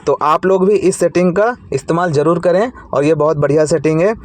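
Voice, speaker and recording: A man's voice: on the high side, fairly quick. An Indian man hosting an informal tech tutorial, explaining each step in a repetitive way.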